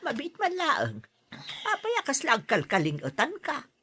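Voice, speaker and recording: A woman playing a caring, gently scolding mother in an audio drama, questioning and matter-of-fact. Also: sits high, wildly swooping pitch, normal speed, slight background noise, heavily acted. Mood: mildly scolding.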